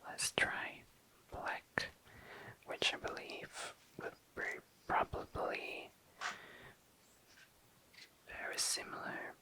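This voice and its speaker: A young adult ASMR host whispering casual, tentative commentary, often self-correcting in an unhurried way. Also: sharp tone, rough recording. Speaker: host of an ASMR makeup video. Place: indoors, tabletop close-up video.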